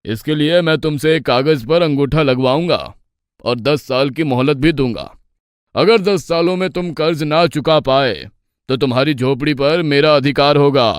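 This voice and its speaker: A man's voice: fairly high pitch, moderate pace, smooth, dry recording, studio quality, close to an everyday voice. A man playing a shrewd, probing village moneylender. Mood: calculating. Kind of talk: Hindi moral-story cartoon dialogue.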